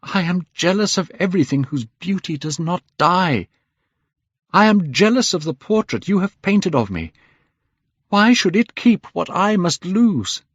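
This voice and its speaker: A man putting on a high, despairing young man. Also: sing-song, a little slow, long pauses, dry recording. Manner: pleading, rising to despair. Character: anguished vain young man. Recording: slightly muffled dry recording.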